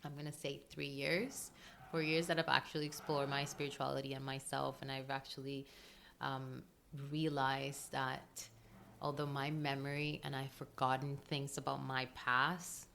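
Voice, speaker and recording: Low-voiced Colombian woman, open and emotional. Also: flat delivery, moderate pace, gravelly, a bit bright, a little hiss or hum. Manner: open and emotional, frequent ums and laughs. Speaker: podcast guest, breathwork coach. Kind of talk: casual interview chat.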